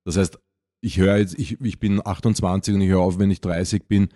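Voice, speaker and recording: Austrian guy on a podcast, mellow dark voice, explains stuff loosely and keeps restarting. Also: sits low, steady tone, a little fast, no room sound, clean studio sound. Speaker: podcast co-host. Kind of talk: informal explanatory talk on a podcast.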